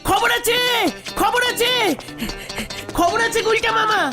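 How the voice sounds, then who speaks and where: A woman voicing a young cartoon sidekick, an excitable and earnest bringer of gossip. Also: very high voice, takes it slowly, music in the background. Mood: excited.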